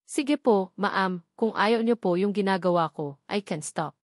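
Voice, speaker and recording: A woman voicing a calm, patient, respectful gardener, a man in his late thirties, in an audio love story. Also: medium pitch, measured pace, no room sound, studio quality, lightly acted. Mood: calm, polite. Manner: calm, respectful, soft-spoken explaining.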